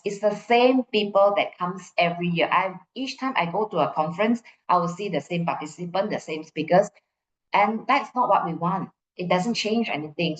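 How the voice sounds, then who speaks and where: Low-voiced Malaysian woman presenting, echoey room. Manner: repeats phrases for emphasis, checks in with "right?". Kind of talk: conference presentation, informal and explanatory.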